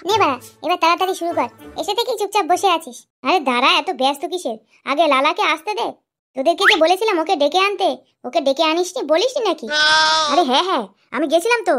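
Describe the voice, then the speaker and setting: A woman's voice: very high voice, lively intonation, quick pace, a bit bright, faint music behind, full character voice. A woman voicing crude, boisterous young village drinking buddies bantering in a comedy cartoon. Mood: brash. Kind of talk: Bengali comedy cartoon dialogue.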